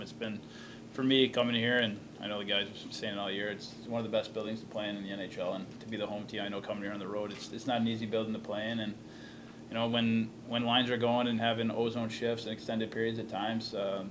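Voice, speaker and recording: A young man in his twenties answering postgame questions at a press conference table microphone, quick and matter-of-fact with many fillers. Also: lowish voice, noisy background.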